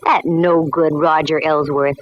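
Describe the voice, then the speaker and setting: An actress with an American accent playing a wry, wisecracking private detective in vintage radio drama style. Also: female voice, deep voice, little pitch movement, a little slow, slight background noise. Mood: breezy, wry. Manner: sardonic, breezy, slangy. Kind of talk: 1940s radio acting. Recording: dull vintage radio recording.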